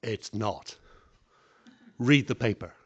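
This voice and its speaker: A man's voice: fairly low pitch, lively intonation, takes it slowly, breathy edge, lo-fi sound. A man giving a conference talk on public speaking, self-deprecating and plainly phrased. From English-speaking country.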